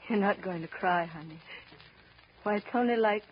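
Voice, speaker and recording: An actress playing a weary, gentle, resigned showboat performer in a 1930s radio drama, speaking haltingly. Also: female voice, medium pitch, lightly acted. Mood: sad, resigned. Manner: halting, pleading. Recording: muffled, noisy 1930s radio broadcast recording.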